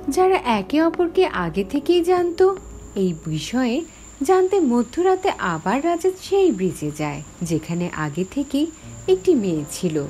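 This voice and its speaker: A woman's voice: high-pitched, darkish tone. A woman narrating a scripted horror story dramatically, in the first person as its heroine. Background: music.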